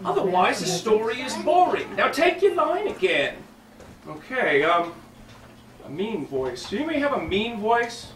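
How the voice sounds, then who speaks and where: A man's voice: sits high, a bit bright. Man as flustered ventriloquist in an echoey room. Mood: coaxing. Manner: halting, flustered asides to audience. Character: bumbling, chatty male ventriloquist puppet-show presenter named Nigel. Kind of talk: comic storytelling to children. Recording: echoey, noisy room, distant microphone.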